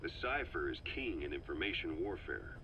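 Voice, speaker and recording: A low-key interrogator from a video game, with an extremely low voice. He is terse, calm and probing. The sound is a dull cassette recording with faint noise. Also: moderate pace. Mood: calm, curious. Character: calm, terse male interviewer questioning an old man. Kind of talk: video game voice acting, taped conversation. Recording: muffled noisy cassette tape sound.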